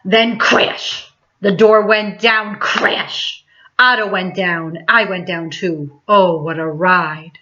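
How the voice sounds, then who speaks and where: Woman, bright voice, expressive picture-book read-aloud, dramatic emphasis, lo-fi sound. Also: lowish voice, slow pace. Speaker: teacher reading a children's book aloud. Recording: dull lo-fi sound.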